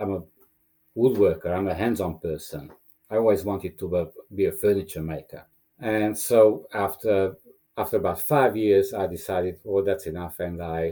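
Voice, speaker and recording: A man's voice: low voice, mellow. A middle-aged man from Romania, a native Hungarian speaker, speaking as a guest in a casual interview, thoughtful and hesitant with fillers.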